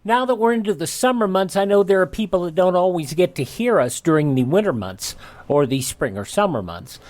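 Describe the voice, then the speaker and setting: Higher-voiced male radio host, chatty. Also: animated, darkish tone. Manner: halting, chatty. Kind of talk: informal background commentary.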